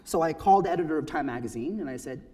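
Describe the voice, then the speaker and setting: A man in his thirties from the Philippines giving a personal talk to an audience, earnest and emphatic, often checking in with a quick "right?". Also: on the high side, expressive, warm tone, husky.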